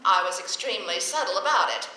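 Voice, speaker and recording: A woman playing a bright, wisecracking schoolteacher. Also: medium pitch, lively intonation, fast. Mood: sly, dry. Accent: American. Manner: dry, wisecracking, crisp delivery. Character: wry, quick-witted adult woman schoolteacher. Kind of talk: old-time radio comedy acting and narration. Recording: echoey, faintly noisy stage recording.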